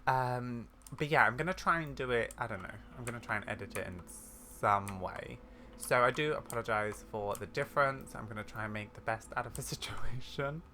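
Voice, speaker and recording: A man's voice: medium pitch, a little fast, bright, forceful tone, slight background noise. A man who makes gaming videos, chatting informally in casual, apologetic commentary.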